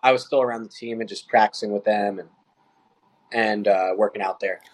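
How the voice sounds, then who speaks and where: A man's voice: lowish voice, fairly even intonation, fairly quick. A young man, a college baseball player, talking easily as a podcast guest and telling stories. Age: young adult. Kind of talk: casual interview chat.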